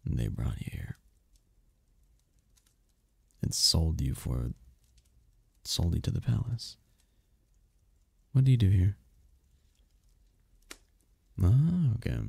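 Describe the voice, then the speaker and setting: Male actor as imperious demon prince, seductive ASMR roleplay, dark low voice, very husky, swooping theatrical delivery, possessive. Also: wildly swooping pitch, no echo, heavily acted. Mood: intrigued. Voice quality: gravelly, husky, often breathy whisper. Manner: slow, purring, theatrical, commanding.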